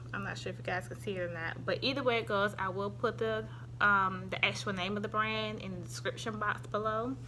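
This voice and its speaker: Young woman, southern us accent, bright tone, rambling casual review, handheld phone at home, faint background noise. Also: mid-range voice. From Southern United States. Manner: rambling, chatty, frequent ums and sidetracks. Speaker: vlogger reviewing supplements. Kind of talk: casual product review chat. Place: her home, indoors.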